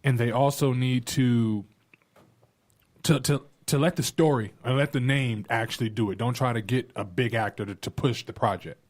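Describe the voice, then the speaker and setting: A man's voice: mid-range voice, normal speed. American man, mid-range voice, podcast panelist, informal, opinionated, conversational. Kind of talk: casual podcast chat.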